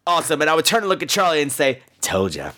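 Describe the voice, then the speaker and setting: A man's voice: a little high, wildly swooping pitch, fast talker, pushed and bright. An American man hosting a podcast, telling comic personal stories in a campy, theatrical and bluntly profane way. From United States. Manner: theatrical, campy, exaggerated delivery. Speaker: podcast host. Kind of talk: comedic personal storytelling monologue.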